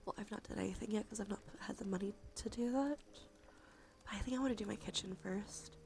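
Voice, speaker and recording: A soft-spoken woman chatting on a cozy ASMR livestream, with a creaky voice that often slips into a breathy whisper. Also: medium pitch, fast talker. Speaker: streamer.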